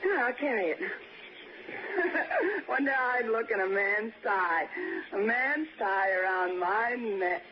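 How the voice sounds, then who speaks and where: An actress playing a lonely, chatty young waitress with an eager, colloquial manner in a 1960s radio suspense drama. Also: female voice, fairly high pitch, expressive, takes it slowly, long pauses. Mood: playful. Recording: noisy, muffled 1960s radio recording.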